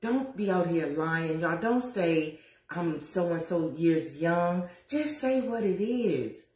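A woman in her fifties chatting to viewers on a livestream while cooking in her home kitchen, lively and preachy, calling out to them as y'all. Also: fairly low pitch, slow pace. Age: 50s. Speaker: lifestyle vlogger. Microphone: phone camera at arm's length. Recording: roomy kitchen echo.

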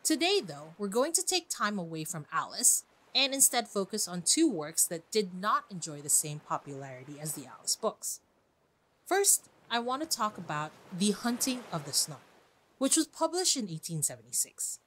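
A woman hosting a podcast in a chatty, expressive monologue, speaking directly to her listeners. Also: mid-range voice, normal speed, low-quality recording. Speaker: podcast host. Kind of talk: podcast monologue on classic books.

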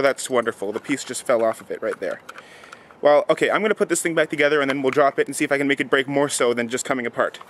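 A teenage man with a fairly high voice hosts a casual homemade vlog. He talks in an offhand, informal way into a camera outdoors, with clear noise and clicks in the recording. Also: a bit bright. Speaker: host of an online video series. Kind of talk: casual vlog chat and demonstration. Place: outdoors in a snowy yard. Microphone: handheld camera at arm's length or set nearby.

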